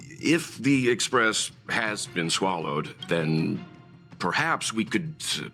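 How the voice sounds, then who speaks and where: A calm, gentlemanly mentor from a video game. His voice is deep, with a firm bright edge. He is deliberate and understated, with music playing behind him. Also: full character voice. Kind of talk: scripted game dialogue acting.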